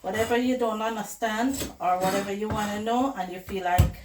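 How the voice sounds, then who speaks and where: A woman with a hoarse, flu-worn voice, chatting casually to viewers as she cooks in her home kitchen. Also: medium pitch, fairly even intonation, a little fast, heavy background noise. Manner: chatty, addresses viewers as guys. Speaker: cooking vlogger host. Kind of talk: casual cooking tutorial chat. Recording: echoey kitchen with loud clatter. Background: pots, dishes and running water.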